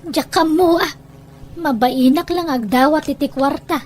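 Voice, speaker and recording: A very high-pitched, meek parent acted by a woman in an audio drama. The delivery is hesitant and bashful, with a Filipino accent. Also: measured pace, darkish tone. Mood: reluctant. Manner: hesitant, demurring. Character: meek, embarrassed parent, reluctant to ask for money. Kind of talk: Ilocano audio drama acting.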